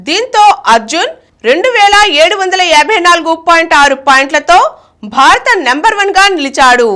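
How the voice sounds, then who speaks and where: A woman's voice: extremely high pitch, bright, forceful tone. A woman reading a scripted news bulletin as the news reader.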